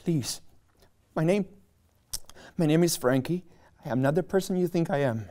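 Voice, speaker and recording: A man's voice: a little high, moderate pace, warm tone, close to an everyday voice. A man voicing a frightened young man framed for a murder, pleading and panicky, in a script table reading. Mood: panicked. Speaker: table read actor.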